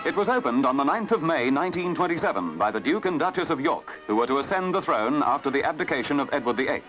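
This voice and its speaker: Australian man, newsreel narration, animated rising and falling pitch, fairly high voice. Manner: brisk announcer delivery with lively intonation. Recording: dull lo-fi archival newsreel with music.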